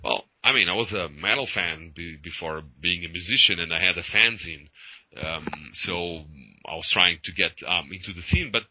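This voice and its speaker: Deep-voiced Portuguese man, bright tone. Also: normal speed, a little hiss or hum, low-quality recording. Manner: hesitant, many ums, laughs often. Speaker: singer of a metal band. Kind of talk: casual interview answers.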